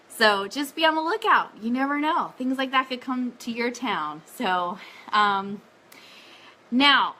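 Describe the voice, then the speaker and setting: A woman with a high, bright voice hosts a casual live fan show. She is chatty and enthusiastic, switching easily into reading announcements. There is some noise behind her on the stream. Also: poor audio. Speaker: host of an Elvis fan radio show.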